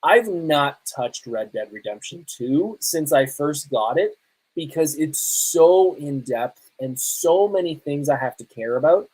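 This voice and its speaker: A man's voice: a little high, animated, measured pace, a little mellow, husky, low-quality recording. A man, chatting casually and enthusiastically as a solo podcast host. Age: 30s. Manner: conversational, enthusiastic, emphatic. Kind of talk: casual solo podcast chat on pop culture and games.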